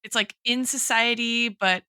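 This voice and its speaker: A woman with a bright, forward voice discusses books on a podcast like a thoughtful teacher. She reasons out loud, qualifying her points as she goes. The sound is dry and close. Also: a little high, fairly even intonation, measured pace, clean tone, dry recording. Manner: reflective, analytical, hedging with frequent tags like "right" and "like". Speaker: podcast host and guest expert, a teacher.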